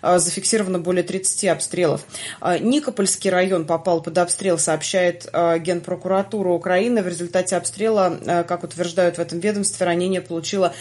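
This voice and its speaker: A woman with a fairly low voice hosts a news podcast. She reads through a daily roundup in a measured, even delivery. Now and then she pauses on a drawn-out filler sound. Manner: measured, with frequent hesitation sounds. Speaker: podcast host. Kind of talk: news podcast chat and news summary.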